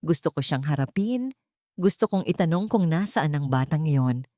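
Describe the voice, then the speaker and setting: A woman's voice: low-pitched, lively intonation, moderate pace, dark tone, dry recording, clean studio sound. A woman reading scripted dramatic narration as a storyteller.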